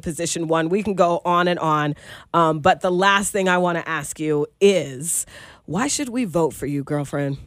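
A woman's voice: on the low side, dry recording, studio quality. A woman hosting a radio interview, talking casually and chattily with many fillers. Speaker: radio host. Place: radio studio.

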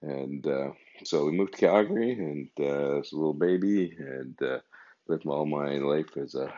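A man's voice: very deep voice, warm tone. A man in his fifties hosting his own podcast, talking in a meandering, conversational way. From Canada. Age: 50s. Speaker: podcast host. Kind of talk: casual podcast monologue. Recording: dull lo-fi recording.

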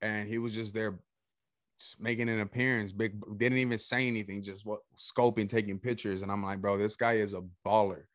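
A young man from El Salvador hosting a casual podcast chat, energetic and saying "bro" a lot. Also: fairly low pitch, fairly quick, no echo, clean studio sound. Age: young adult. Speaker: podcast host.

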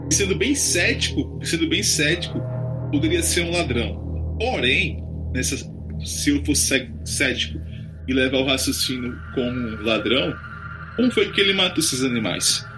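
A man's voice: mid-range voice, animated, moderate pace, rough recording. A man with a Brazilian Portuguese accent, chatting casually and speculating aloud in slangy speech. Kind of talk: casual chat. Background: background music.

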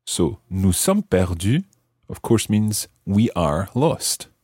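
A man hosting a scripted language lesson, explaining clearly and repeating phrases slowly and deliberately. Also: low voice, sing-song, dark tone, no echo, studio quality. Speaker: language teacher and host.

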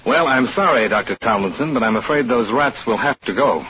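A man's voice: mid-range voice, lively intonation, a little fast, background noise, natural voice. An actor playing a resentful, put-upon assistant hotel manager in an old radio drama, dry, formal and sardonic as he tells his story. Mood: polite, firm. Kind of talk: old-time radio drama acting, first-person narration. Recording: dull old radio recording, music behind.

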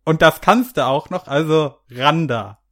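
Higher-voiced male podcast co-host. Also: unhurried, a bit bright. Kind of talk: casual chat.

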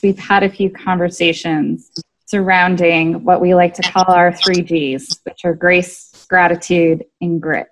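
Woman, podcast host, slow-paced, measured questions, lowish voice. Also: low-quality recording.

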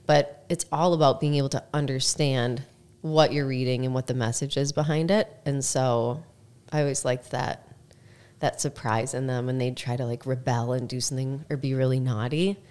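A woman's voice: rumbling low, steady tone, moderate pace, darkish tone, clear, resonant tone. A woman guest on a podcast, a former teacher, telling stories in a chatty way with many fillers. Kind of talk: casual interview storytelling.

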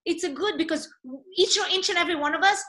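A woman's voice: very high-pitched, quick pace, sharp tone. A woman from Israel with an Israeli accent, a non-native English speaker, hosting a casual online talk in an enthusiastic, encouraging, emphatic way. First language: not English. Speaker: host of a live online talk session. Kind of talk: casual interview chat. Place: online video call.

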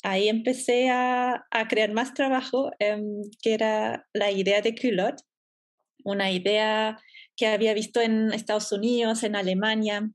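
German woman guesting on a podcast, kinda high voice, relaxed, laughs a lot. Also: measured pace. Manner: relaxed, explanatory, laughs easily. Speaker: entrepreneur guest. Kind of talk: podcast interview.

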